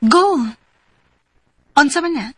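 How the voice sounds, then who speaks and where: A high-voiced, playful young Cebuano woman. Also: expressive, slow, very noisy. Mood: teasing, amused. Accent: Filipino, Cebuano. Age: young adult. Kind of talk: radio drama dialogue.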